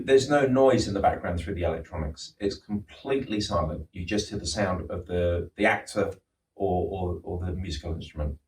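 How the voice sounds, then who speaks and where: Middle-aged man, low voice, enthusiastic showroom demo, distant echoey mic. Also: slight background noise. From England. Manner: enthusiastic, explanatory, with frequent restarts and ums. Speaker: home cinema designer and dealer. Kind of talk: showroom product walkthrough. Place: large showroom. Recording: roomy echo, distant mic.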